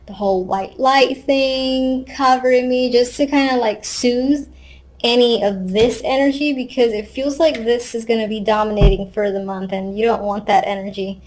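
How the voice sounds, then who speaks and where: A woman's voice: a little high, steady tone, moderate pace, a little mellow. A woman giving a casual, unscripted tarot reading, thinking aloud conversationally with wry asides. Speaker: tarot card reader.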